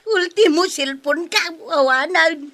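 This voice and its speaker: Casting: woman plays pleading Ilocano villager, high bright whine, drawn-out delivery, comic radio drama. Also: heavily acted. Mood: plaintive. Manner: wailing, plaintive. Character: whining, pleading villager woman seeking the captain's help.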